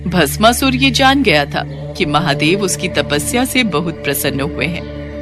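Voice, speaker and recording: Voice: medium pitch, animated, unhurried, over music. A narrator reading a scripted devotional myth story.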